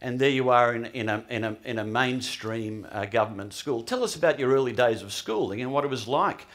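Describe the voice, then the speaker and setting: A man's voice: mid-range voice, a little fast, a bit bright. Wry middle-aged male host. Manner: wry, joking, with hesitant restarts. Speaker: host interviewer. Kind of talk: on-stage interview with an audience. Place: large hall on stage.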